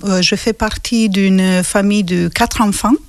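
A woman in her forties with a Romanian accent, a radio interview guest telling her story with frequent pauses and hesitations. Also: medium pitch, steady tone, a little mellow, dry recording. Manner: hesitant, many pauses and fillers. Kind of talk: interview conversation.

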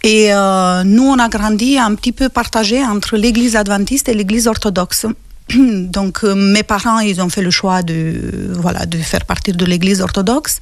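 A woman in her forties with a Romanian accent, a radio interview guest telling her story with frequent pauses and hesitations. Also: mid-range voice, steady tone, no echo. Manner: hesitant, many pauses and fillers. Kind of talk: interview conversation.

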